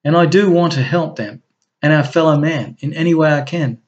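A man voicing an eager, charming husband who coaxes and flatters his partner. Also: a little high, normal speed, pitched above the performer's own voice, close to an everyday voice. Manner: enthusiastic, coaxing. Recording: dull lo-fi recording.

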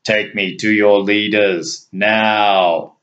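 A man's voice: on the low side, steady tone, very slow, lo-fi sound, pitched below the performer's own voice. A man voicing a cheeky, unflappable male alien visitor who talks in a breezy, teasing way. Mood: forceful. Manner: breezy and teasing, turns forceful at times.

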